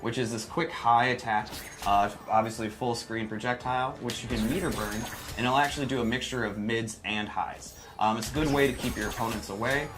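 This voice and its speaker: A man's voice: mid-range voice, fairly even intonation, a little fast, noisy background. A man giving chatty, informal live commentary as he demonstrates a fighting game character, with a playful self-deprecating streak. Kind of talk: live gameplay commentary, casual explaining.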